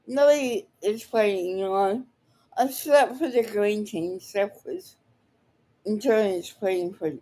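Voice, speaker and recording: A woman giving blunt, combative unscripted commentary in halting, broken sentences, often swearing. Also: medium pitch, mellow. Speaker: online commentator reacting to another speaker. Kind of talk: unscripted live reaction commentary.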